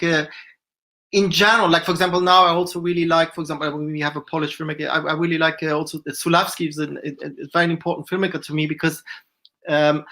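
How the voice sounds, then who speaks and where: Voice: mid-range voice, sharp tone. A filmmaker guest answering festival questions, searching for words and rambling through restarts.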